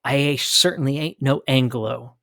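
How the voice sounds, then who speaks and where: A man's voice: mid-range voice, unhurried, gravelly, bright, forceful tone, dry recording, clean studio sound. A man hosting a commentary podcast, arguing emphatically with rhetorical questions and repeated phrases. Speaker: podcast host. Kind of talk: opinionated commentary.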